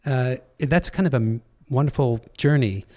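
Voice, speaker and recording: A man, a theater director, talking on a live panel in a halting way as he searches for words. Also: mid-range voice, warm tone.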